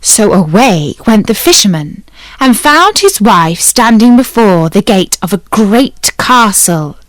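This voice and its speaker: Slow, expressive woman storyteller. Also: medium pitch, dry recording, poor audio. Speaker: narrator. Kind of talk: audiobook fairy tale narration.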